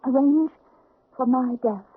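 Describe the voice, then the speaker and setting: A woman's voice: high-pitched, takes it slowly, husky, exaggerated voice. An actress playing a grieving, fearful countess pleading to escape her husband, in old-time radio drama style. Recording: tinny band-limited vintage radio recording.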